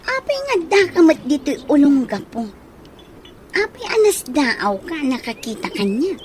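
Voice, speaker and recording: An actress with an Ilocano accent playing a teasing, lively young woman in a radio drama. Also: sits high, a little slow, a little hiss or hum. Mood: puzzled, brisk.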